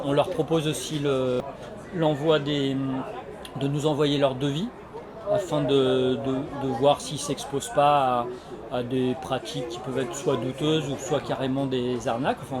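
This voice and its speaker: A man of average pitch gives explanatory interview answers as an association representative. He speaks haltingly, often searching for his words. A very loud crowd fills the background. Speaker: energy renovation association representative. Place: community associations forum in a sports hall. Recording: loud crowd hubbub at a fair.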